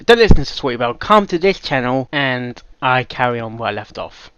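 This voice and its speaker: A man's voice: mid-range voice, sing-song, fairly quick, very noisy. A man giving casual live reaction commentary as the host of a reaction channel.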